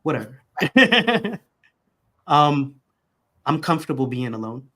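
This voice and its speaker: A man's voice: on the high side, big pitch swings, slow pace, bright, forceful tone. A man who grew up in America, a podcast guest speaking reflectively and conversationally, restarting his sentences often.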